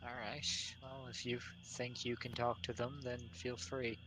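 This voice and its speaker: A man's voice: mid-range voice, level tone, unhurried, background noise. A man playing a tabletop game, cautious and asking the group questions. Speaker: player. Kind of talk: casual tabletop play.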